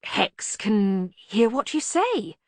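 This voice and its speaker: A woman as a halting, deferential young male academic. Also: medium pitch, sing-song, no room sound, voice pushed up. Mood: deferential, hesitant. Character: hesitant, diplomatic, sensible male junior wizard academic. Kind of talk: acted audiobook dialogue.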